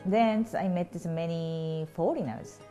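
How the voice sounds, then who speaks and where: Japanese woman teacher being interviewed, japanese accent, lowish voice, slow halting phrasing, guitar behind. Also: mellow. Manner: halting, simple non-native phrasing. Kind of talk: unscripted interview answers. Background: guitar music.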